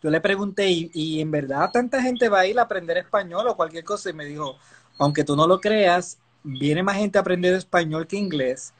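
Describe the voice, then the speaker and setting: A man's voice: high voice, normal speed. A middle-aged man with a Puerto Rican accent, hosting a casual live interview chat. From Puerto Rico. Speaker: host, interviewer. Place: indoor living room. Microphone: phone at arm's length, video call. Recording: noisy phone video call, clicks.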